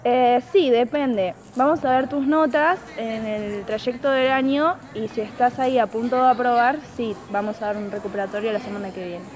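A woman with an Argentine accent playing a stern, ill-humoured high school teacher in an amateur radio play, addressing the class formally. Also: normal speed, few pauses, darkish tone, natural voice. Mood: grudging. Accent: Argentine Spanish. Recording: noisy amateur recording.

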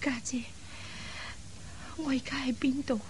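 A woman playing a weak, remorseful younger sister in a radio drama, speaking faintly and haltingly. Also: sits high, fairly even intonation, crowd noise. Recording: faint background noise.

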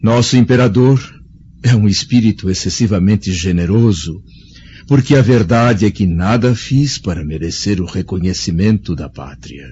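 An actor with a Brazilian accent playing a dignified, humble old Roman senator in a radio drama, formal and emotional. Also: male voice, fairly low pitch, moderate pace, warm tone, lo-fi sound, slight background noise, natural voice. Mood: grateful, moved.

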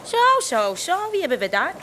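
A woman's voice: sits high, big pitch swings, normal speed, fairly bright tone, slight background noise. An actress playing a brash, foul-mouthed working-class woman in a radio drama, defiant and scornful.

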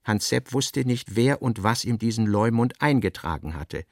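Low, creaky-voiced male narrator. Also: lively intonation, moderate pace, dry recording, studio quality. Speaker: narrator of a literary radio play. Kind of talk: scripted literary narration.

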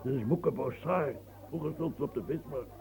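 A man's voice: mid-range voice, moderate pace, creaky, crowd noise, lightly acted. An actor playing an aging, boastful, womanizing old nobleman who rambles, chuckles, coughs and stammers in a radio play. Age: older.

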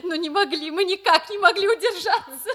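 An actress playing an excitable, playful young society daughter in a comedy audio play, girlish and exclamatory. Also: very high-pitched, a little fast. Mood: curious.

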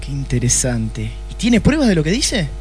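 Casting: male actor as rude, boozy radio-play detective, Argentine, high-pitched male voice, sardonic and curt. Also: wildly swooping pitch, normal speed, a little mellow, slight background noise. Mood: probing, dry. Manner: brusque, sardonic. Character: gruff, rude, hard-drinking male private detective. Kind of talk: comic noir radio play acting.

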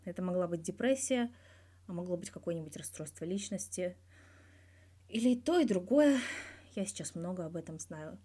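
A woman's voice: fairly low pitch, expressive, moderate pace, pauses often, mellow, breathy edge. A woman voicing a candid, wry young male star athlete giving a guarded but blunt interview in a story. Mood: subdued, frank. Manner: guarded yet blunt, dryly teasing, swears freely.

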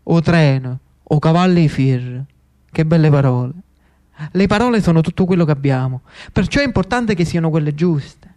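A male storyteller with a strong Neapolitan accent and a high-pitched voice. He performs a theatrical narrative monologue, lyrical and dramatic, breaking it into short repeated phrases with pauses. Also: expressive, a little mellow. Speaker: actor-storyteller.

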